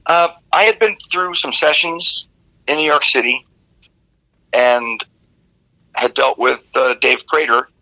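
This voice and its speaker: Gravelly male rock vocalist guest, bright cutting tone, candid and animated storyteller. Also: medium pitch, takes it slowly, long pauses, low-quality recording. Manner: candid, animated, casually profane. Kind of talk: casual interview storytelling.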